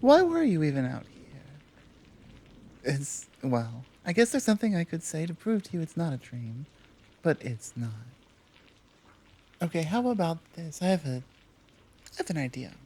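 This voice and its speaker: A woman playing a gentle but guarded, protective Amazon warrior in an ASMR roleplay, soft-spoken and earnest, with hesitant pauses and gentle chiding. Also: low voice, sing-song, slightly rough, exaggerated voice. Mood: thoughtful, challenging. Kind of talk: ASMR roleplay, one side of a dialogue.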